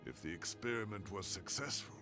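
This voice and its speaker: An actor playing an old man, a remorseful scholar in a video game story, with a gravelly voice that turns breathy and a weighty, theatrical delivery. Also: fairly low pitch, expressive, fairly quick, close to an everyday voice. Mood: grave, confessional. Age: older. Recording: game music behind the voice.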